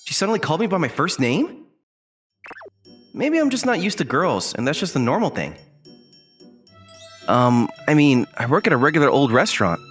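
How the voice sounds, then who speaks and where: Man acting a bemused college guy, very animated pitch. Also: normal speed, pauses often. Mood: surprised, hesitant. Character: ordinary young male college student, self-deprecating, bemused, guarded. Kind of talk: anime-style dub with first-person narration and inner monologue. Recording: music behind the voice.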